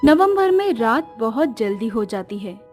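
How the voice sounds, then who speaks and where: A woman's voice: fairly high pitch, very animated, measured pace, darkish tone. A woman narrating a scripted horror story as a storyteller. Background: music.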